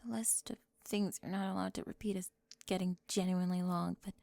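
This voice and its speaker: Woman, mid-pitched, gravelly edge, dry close whispers, teasing roleplay delivery. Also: fairly even intonation, a little fast, dry recording. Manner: teasing, sharp-tongued, moving between whispers and soft speech. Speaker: ASMR roleplay performer.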